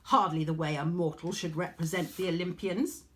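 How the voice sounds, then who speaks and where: A woman's voice: on the low side, steady tone, normal speed, lightly acted. A woman voicing a cold, haughty goddess, disdainful and commanding, in a dramatised storybook reading.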